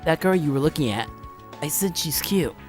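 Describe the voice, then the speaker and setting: Teasing, chatty high-school classmate played by a man, informal and higher-voiced, visual novel read with soft music. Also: expressive, normal speed, lightly acted. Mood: teasing, gossipy.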